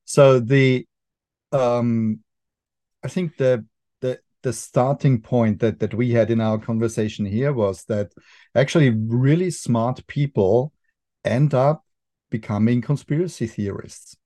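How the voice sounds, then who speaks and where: A man's voice: mid-range voice, slow, warm tone, no room sound. A middle-aged man with an Austrian accent, a filmmaker guest on a podcast, explaining thoughtfully with frequent restarts and fillers. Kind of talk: podcast interview.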